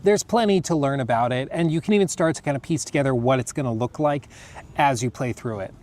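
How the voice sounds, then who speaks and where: A man's voice: medium pitch, quick pace, darkish tone. A young American man chatting casually on a podcast, rambling with lots of fillers as he reads out game lore. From United States. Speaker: podcast co-host. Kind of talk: casual chat reading lore.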